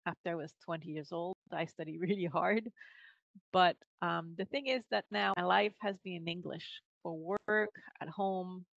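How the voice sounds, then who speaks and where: A woman's voice: fairly low pitch, fairly even intonation, moderate pace, vocal fry, fairly bright tone, dry recording, muffled recording. A middle-aged woman with a French Canadian accent, chatting as a guest on a video call and laughing often between filler words. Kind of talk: casual interview conversation. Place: home office with bookshelves. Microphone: podcast mic on video call.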